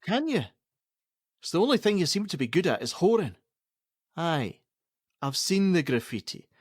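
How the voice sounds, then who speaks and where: A man's voice: a little high, very animated, moderate pace, breathy edge, dry recording, clean studio sound. An actor playing a defensive, argumentative middle-aged father in a naturalistic radio play, sarcastic and given to swearing. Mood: cruel sarcasm. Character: angry, defensive, domineering father of a pregnant teenage girl.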